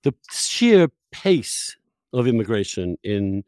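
A man's voice: fairly low pitch, sing-song, slow pace, darkish tone, no echo, clean studio sound. A man hosting a conversational interview, putting opinions and questions in an emphatic, probing way. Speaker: interviewer, host.

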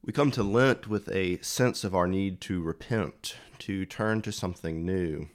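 A man preaching a reflective homily in measured, deliberate phrases. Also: sits low.

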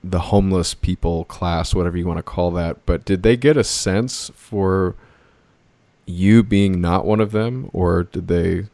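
A man's voice: sits low, measured pace, mellow, dry recording. A man hosting a podcast, asking curious, rambling questions in a casual interview. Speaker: podcast host.

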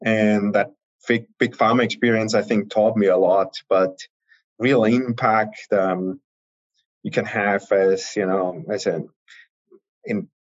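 A man in his fifties with an Austrian accent, a guest talking in a relaxed, rambling way with many fillers. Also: lowish voice, slow. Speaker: guest, venture partner. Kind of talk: casual interview talk. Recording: dull lo-fi audio.

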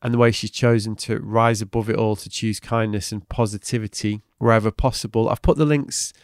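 Podcast host, man, low voice, thoughtful and halting, dry close mic. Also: steady tone, dry recording. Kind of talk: reflective interview chat.

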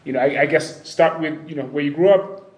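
A man's voice: fairly high pitch, a little fast. A man hosting a casual podcast interview. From Kenya. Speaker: podcast host. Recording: echoey room with faint background noise.

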